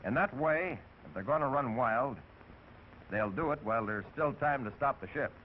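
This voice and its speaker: A man's voice: mid-range voice, expressive, normal speed. A man narrating technical procedures step by step in a scripted 1940s training film. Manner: methodical, step-by-step. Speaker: instructional narrator. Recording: tinny, hissy 1940s film soundtrack.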